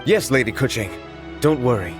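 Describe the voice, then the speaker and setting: Man playing a lively, deferential male clerk. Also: medium pitch, normal speed, music in the background, natural voice. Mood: obedient. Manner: polite, slightly flustered. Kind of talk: anime video game voice acting.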